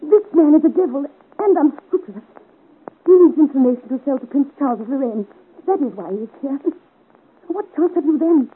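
A woman's voice: sits high, expressive, a little fast. A woman acting a secretive, troubled young Parisian woman, earnest and dramatic, in 1950s radio serial style. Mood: anguished, pleading. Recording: narrow-band, hissy 1950s radio recording.

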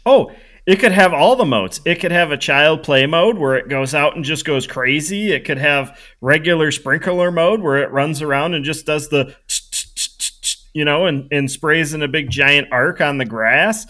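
Bright, forceful higher-pitched man, casual chat. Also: normal speed. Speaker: podcast panelist pitching an invention. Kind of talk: casual excited brainstorming chat.

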